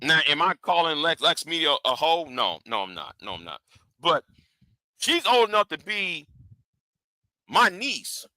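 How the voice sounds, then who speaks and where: A man's voice: fairly high pitch, very animated, unhurried, long pauses, bright, forceful tone, no room sound. A man in his forties, a live stream host, rambling in casual commentary with lots of stammered repeats. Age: 40s.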